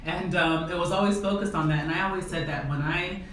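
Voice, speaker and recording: A woman's voice: lowish voice, fairly quick. Rambling woman designer in echoey room. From United States. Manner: relaxed, rambling, many um and like fillers. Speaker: fashion designer, guest. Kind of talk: casual interview answers. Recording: echoey room, distant mic, background noise.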